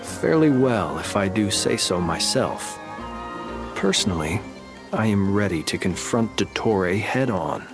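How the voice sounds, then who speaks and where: A formal, composed male ally, lowish voice. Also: animated. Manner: measured, formal, polite phrasing. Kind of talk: video game cutscene dialogue. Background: background music.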